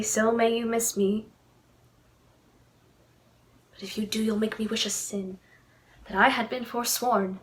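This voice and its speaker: A woman's voice: mid-range voice, a bit bright. A woman acting a lovestruck, torn young noblewoman in a Shakespearean verse monologue, with hesitant, theatrical delivery.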